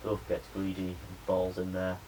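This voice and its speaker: A young adult man, a media student giving a spoken pitch presentation to a class. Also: completely flat delivery, moderate pace. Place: indoor room with a small audience. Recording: noisy room recording.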